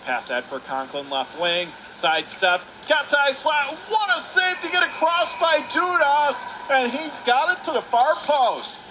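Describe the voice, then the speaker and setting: A man's voice: extremely high pitch, very animated, measured pace, hardly any pauses. A man calls live ice hockey play-by-play in urgent, clipped phrases. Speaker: radio play-by-play hockey announcer. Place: indoor ice hockey arena. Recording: clearly noisy live rink recording.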